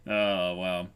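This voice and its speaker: A man co-hosting a manga read-along, reading aloud and reacting casually with goofy, excitable exclamations. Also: sits low, clean tone.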